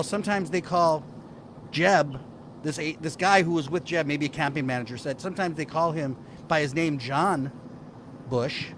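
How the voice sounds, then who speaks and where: A middle-aged American man with a slightly high voice, chatting like a comedian among friends. He has a playfully wounded manner, and there is light noise behind him. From Hawaii, United States. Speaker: comedian, panel show player. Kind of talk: casual comic storytelling among friends.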